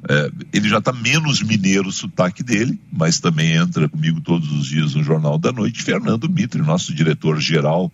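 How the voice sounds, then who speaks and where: A man co-hosting a radio show, chatting casually with quick asides and repeated words. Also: low-pitched, animated, slightly rough, muffled recording. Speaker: radio host. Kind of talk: casual on-air chat.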